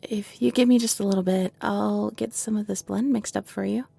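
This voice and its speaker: A woman playing a polite, shy owl shopkeeper in a romantic roleplay audio drama, speaking gently and hesitantly. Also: medium pitch, fairly even intonation, normal speed, vocal fry, faint music behind. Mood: shy, warm.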